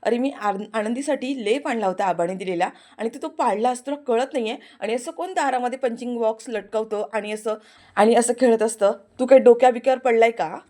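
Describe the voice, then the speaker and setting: A woman voicing a proud, sharp-tongued young woman who scolds and taunts. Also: mid-range voice, fast, close to an everyday voice. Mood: scolding.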